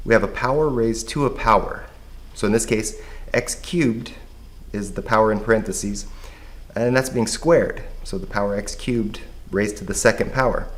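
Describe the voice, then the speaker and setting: A man with a hoarse voice, patiently explaining a math lesson step by step. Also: on the low side. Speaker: math teacher.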